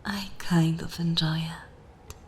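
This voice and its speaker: A seductive, jaded vampire mistress, acted by a woman in a dark fantasy ASMR roleplay. Her voice sits a little low and breathy, often in a whisper, and she draws out each command extremely slowly with cruel theatrical poise. There is some background noise behind her. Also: level tone. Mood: languid. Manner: slow, commanding, theatrical, drawn-out phrases.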